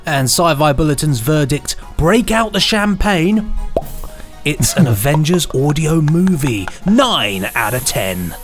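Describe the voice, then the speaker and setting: A man's voice: a little high, expressive, takes it slowly, soft background music. A man co-hosting a podcast in a casual, jokey, bantering way. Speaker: podcast co-host.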